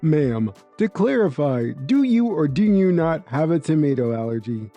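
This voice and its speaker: A storyteller playing a calm, firm male manager. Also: medium pitch, creaky, muffled recording, faint music behind. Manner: calm, formal, measured. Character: polite, firm, professional male restaurant manager.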